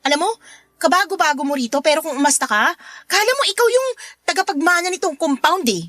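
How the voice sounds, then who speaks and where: A woman's voice: very high voice, animated, fast, a bit bright, no echo. An actress playing a touchy, defensive woman neighbor in a radio drama, snippy and sarcastic. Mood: huffy.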